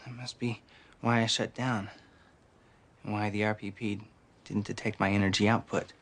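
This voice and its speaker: Man as a polite, formal android; inquisitive, precise, even delivery. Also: on the low side, moderate pace, lightly acted. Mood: calm, curious. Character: polite curious male android. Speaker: actor in a series clip.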